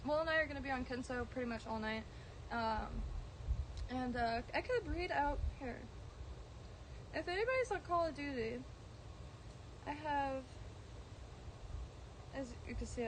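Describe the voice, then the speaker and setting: A woman's voice: sits high, moderate pace, long pauses, a little hiss or hum, poor audio. A woman from Florida, chatting loosely in a garden on a live stream, full of ums and restarts and the odd swear. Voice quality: droning at times. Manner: meandering, many ums and restarts, casual swearing. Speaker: plant vlogger streaming live. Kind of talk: casual rambling livestream chat. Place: outdoors in a garden.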